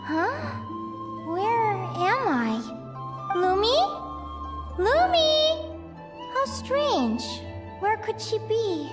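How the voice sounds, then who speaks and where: A woman voicing an excitable young girl battler in a story game, bubbly and expressive, over music. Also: very high-pitched, drawn-out words, long pauses, exaggerated voice. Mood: puzzled. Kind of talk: game voice acting.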